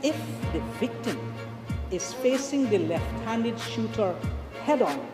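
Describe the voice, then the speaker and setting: Methodical courtroom woman actress, fairly high voice, echoey room, loud music behind. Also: expressive, takes it slowly. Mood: explanatory. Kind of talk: film acting.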